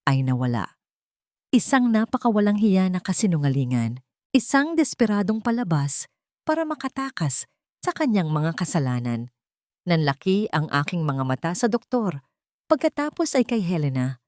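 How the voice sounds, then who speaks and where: A woman's voice: low voice, darkish tone, no echo, studio quality. A woman reading a scripted dramatic story as narrator.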